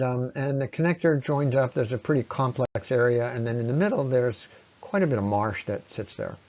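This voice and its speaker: A man presenting an explanatory slide talk in a conversational, unscripted way, with halting phrasing and frequent pauses. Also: medium pitch, a little mellow, lo-fi sound. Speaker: presenter, ecology lecturer.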